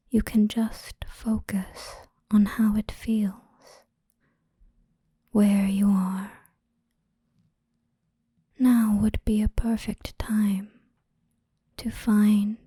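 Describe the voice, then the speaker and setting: A woman guiding a hypnosis relaxation in a soothing, gentle, breathy whisper, unhurried and with frequent pauses, close to the microphone. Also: medium pitch, dry recording. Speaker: hypnosis guide. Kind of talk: whispered ASMR guided relaxation storytelling.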